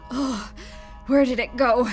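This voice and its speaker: An actress playing a perky, unflappable nurse with a breezy, playful manner in a sci-fi audio drama. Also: female voice, sits high, slightly rough, full character voice. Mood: game, eager. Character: perky, unflappable, blunt young woman nurse.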